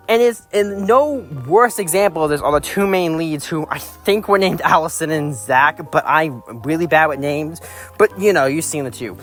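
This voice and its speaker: Man, fairly high voice, casual video review, rambling, critical, exasperated, music behind. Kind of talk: casual rambling opinion commentary. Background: background music.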